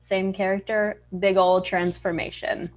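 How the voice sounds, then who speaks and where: A woman's voice: mid-range voice, level tone, unhurried. A woman, a voice actress, answering questions casually and modestly on a video call. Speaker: voice actress being interviewed. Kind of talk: casual interview chat. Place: indoors on a group video call.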